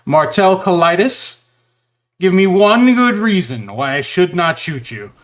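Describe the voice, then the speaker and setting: A man's voice: sits high, expressive, unhurried, voice pushed up. A man voicing a threatening armed character in a tabletop game. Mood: menacing. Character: threatening man holding a trigger.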